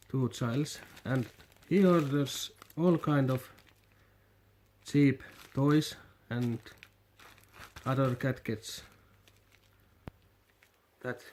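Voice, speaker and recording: A Finnish man vlogging a toy unboxing in halting non-native English, hesitant and repeating his words. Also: medium pitch, darkish tone, slight background noise. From Finland. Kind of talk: casual unboxing commentary.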